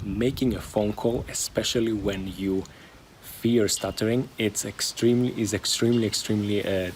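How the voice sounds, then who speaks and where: A man with a fairly low voice talks informally to his viewers as a host giving personal advice. His phrasing is halting, broken by pauses and repeated words. The recording carries faint background noise. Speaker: video host and stuttering coach. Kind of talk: informal personal vlog talk, sharing advice.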